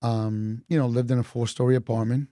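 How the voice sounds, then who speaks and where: A man in his fifties with a New York accent, born in Colombia, telling stories from his life in a relaxed, chatty way as an interview guest. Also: mid-range voice, fairly quick, no echo, studio quality. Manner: relaxed, chatty, laughs between points. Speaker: retired police officer, interview guest. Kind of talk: casual storytelling in an interview.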